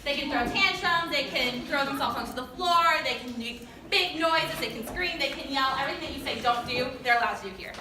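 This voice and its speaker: A woman's voice: high-pitched, fairly quick, hardly any pauses. A woman speaking as a drama teacher, giving an informal, gushing and colloquial award speech to parents. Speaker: drama club teacher presenting student awards. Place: indoor performance space before an audience. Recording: echoey room, distant noisy mic.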